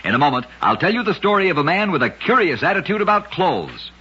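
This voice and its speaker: A man with a husky voice giving dramatic scripted narration for an old radio show. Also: sits high, very animated, fast, pushed and bright. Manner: dramatic storytelling delivery. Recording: dull lo-fi old radio recording.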